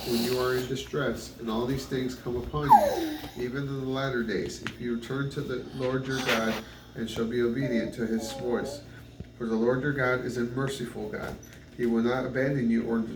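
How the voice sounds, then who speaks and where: A man reading the Bible aloud in measured phrases, occasionally stumbling over words. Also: medium pitch. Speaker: scripture reader. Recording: roomy, distant mic, noisy background.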